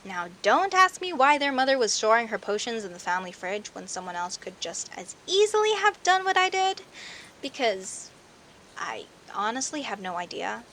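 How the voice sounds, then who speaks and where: A woman playing a friendly, teasing young werecat neighbor in an audio roleplay, chatting playfully to the listener with hesitant pauses and theatrical emphasis. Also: fairly high pitch, big pitch swings, fairly bright tone, slight background noise. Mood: amused storytelling. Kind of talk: audio roleplay, casual one-sided conversation with the listener.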